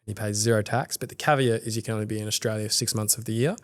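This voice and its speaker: A man's voice: on the low side, quick pace. Laid-back man hosting a podcast. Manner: relaxed, asks short questions, chimes in. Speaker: podcast host. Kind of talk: casual interview chat. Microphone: studio podcast mic.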